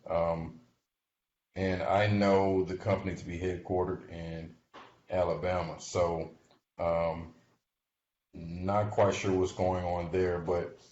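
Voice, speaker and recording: A man giving informal explanatory investment commentary in a halting, conversational way, often checking in with the listener. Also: deep voice, little pitch movement, darkish tone. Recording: muffled, noisy audio in echoey room.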